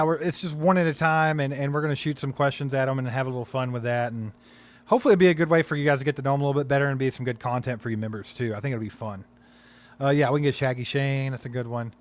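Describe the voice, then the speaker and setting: A man's voice: medium pitch, clear, resonant tone. A man co-hosting a casual live sports podcast stream, rambling with many fillers and reading out viewer comments. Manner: rambling, many fillers, reads chat aloud. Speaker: podcast co-host.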